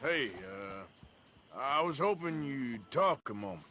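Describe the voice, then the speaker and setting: A gruff Western drifter for a game, with a rural American accent. His tone is forceful and edgy, moving widely in pitch, and his delivery stays dry and laconic. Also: big pitch swings, slow, a little hiss or hum, full character voice. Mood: polite, guarded. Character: gruff, wry drifter outlaw in his thirties. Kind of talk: dramatic video game dialogue.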